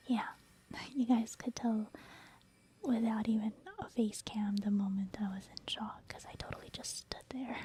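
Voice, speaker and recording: A woman's voice: on the high side, dark tone. A woman whispering casual, rambling ASMR commentary over gameplay in a conversational way. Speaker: gaming ASMR creator, commentator.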